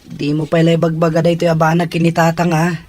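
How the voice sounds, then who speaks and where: Man as schoolboy older brother, slightly high pitch, protective, skeptical, earnest, audio drama. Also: completely flat delivery, brisk, darkish tone, natural voice. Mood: protective, reassuring.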